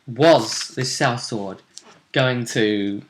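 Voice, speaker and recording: A man talking casually as a game master and host, recapping a tabletop role-playing game with emphatic, dramatic pauses. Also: mid-range voice, a little hiss or hum.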